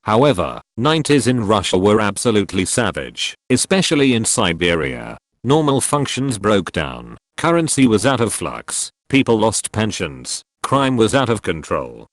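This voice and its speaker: Man, lowish voice, dry close sound, steady read-aloud narration. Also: moderate pace, few pauses, no echo. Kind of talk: reading forum posts aloud.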